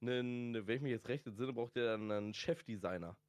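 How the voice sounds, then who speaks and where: A man's voice: mid-range voice, a little fast, no echo. A man chatting casually and telling slangy anecdotes as a podcast guest. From northern Germany. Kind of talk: casual chat.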